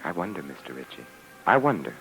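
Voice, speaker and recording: A very low-voiced gentleman detective, urbane and drily teasing. His delivery swings expressively while staying unflappable. It sounds like an old radio mystery broadcast. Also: sing-song, fast talker, soft background music, exaggerated voice, rough recording. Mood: grave, probing. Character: suave, wry, unflappable gentleman adventurer sleuth. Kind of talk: 1950s radio detective drama acting.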